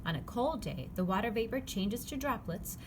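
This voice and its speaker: A woman reading a children's picture book aloud, phrasing it clearly and simply for young listeners. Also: mid-range voice, big pitch swings, moderate pace. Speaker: read-aloud narrator. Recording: clearly noisy background ambience.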